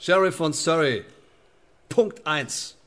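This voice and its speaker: An actor in a German radio drama playing a pompous, pedantic sheriff who interrogates in stiff officialese. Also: male voice, fairly high pitch, lively intonation, slow pace, fairly bright tone. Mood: pompous, piqued.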